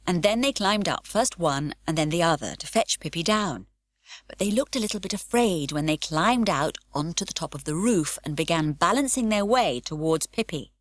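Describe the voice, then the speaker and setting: A woman narrating a children's storybook audiobook in a warm, lively storytelling way. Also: lowish voice, dry recording, clean studio sound.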